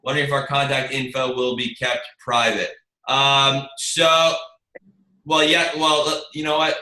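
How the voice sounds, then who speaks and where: A man's voice: medium pitch, little pitch movement, measured pace, sharp tone. A man hosting an online team meeting as a marketing presenter, with a chatty, rambling, informal sales delivery. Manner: chatty, rambling, informal, addresses listeners as you guys. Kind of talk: casual sales pitch on a group video call. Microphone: video call. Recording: roomy echo, faint noise, clicks.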